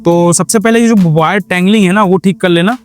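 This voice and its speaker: A man's voice: high-pitched, a little fast, soft background music. A man narrating a casual hands-on how-to as a vlog host, explaining step by step and mixing in English words. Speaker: motorcycle vlogger and tutorial host.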